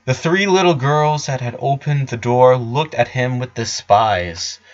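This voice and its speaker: A man's voice: medium pitch, unhurried. A man narrating a story in a dramatic reading style. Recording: dull lo-fi sound.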